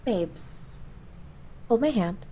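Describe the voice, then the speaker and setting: An actress playing an affectionate, excitable young woman, an effusive friend in a fantasy comedy audio drama. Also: a little high, very slow, a little hiss or hum, low-quality recording. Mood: gentle, anxious.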